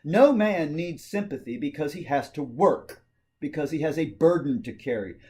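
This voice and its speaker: Higher-pitched man delivering formal oratory. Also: lively intonation, normal speed, darkish tone. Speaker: amateur reader of a historical speech. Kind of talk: dramatic reading of a political speech, formal oratory.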